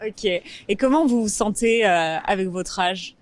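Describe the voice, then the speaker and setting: A woman's voice: mid-range voice, a little slow. A woman interviewing passers-by outdoors in a friendly way. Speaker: street interviewer. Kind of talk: street interviews.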